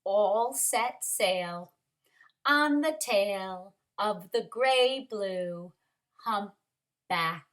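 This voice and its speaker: Very slow, bright-voiced woman reading a storybook. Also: medium pitch. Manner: expressive, dramatic, asks children questions. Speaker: kindergarten teacher reading aloud. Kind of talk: animated storybook read-aloud for young children.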